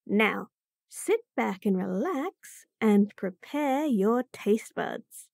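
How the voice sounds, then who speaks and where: A woman's voice: mid-range voice, lively intonation, very slow, vocal fry, a little mellow, no echo. Sassy bunny girl chef, woman acting it, bouncy singsongy voice, takes her time, super dry recording. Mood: teasing. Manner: sassy, bratty, teasing. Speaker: voice actor in a roleplay audio. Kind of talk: F4M roleplay audio, acted monologue.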